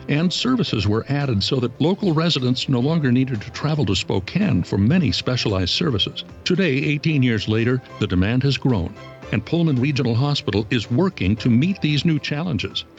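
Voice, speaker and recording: A man reading a scripted radio commercial in a smooth, measured way. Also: medium pitch, expressive. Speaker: commercial announcer. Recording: dull lo-fi radio ad with music.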